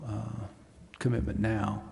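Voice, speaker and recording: A man's voice: on the low side, monotone, slow pace, vocal fry, darkish tone. A male official explaining things carefully and a little hesitantly at a public meeting in a council chamber. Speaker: city official answering council questions. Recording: echoey chamber with faint noise.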